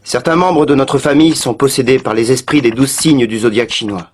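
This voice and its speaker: A man playing a cursed young anime character who explains things gravely and solemnly. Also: mid-range voice, a little fast, slight background noise, close to an everyday voice.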